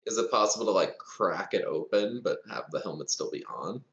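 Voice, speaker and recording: A man's voice: low voice, expressive, a little fast, gravelly, a bit bright. A man chatting casually as a player in a tabletop roleplaying game, heard through a slightly lo-fi mic.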